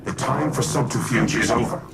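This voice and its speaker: An actor playing a solemn, self-sacrificing military artificial intelligence with a gravelly voice and formal, resolute, measured speech in a game cutscene. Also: male voice, medium pitch, very noisy, natural voice. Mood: urgent, commanding. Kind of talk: scripted game cutscene acting. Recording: loud music behind voice.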